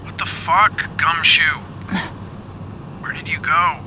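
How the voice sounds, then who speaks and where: Slow, wounded man on muffled call. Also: on the high side, steady tone. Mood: exasperated. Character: wounded, medicated, needy man, blunt and pleading. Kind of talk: audio drama acting. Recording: muffled, noisy call with engine drone.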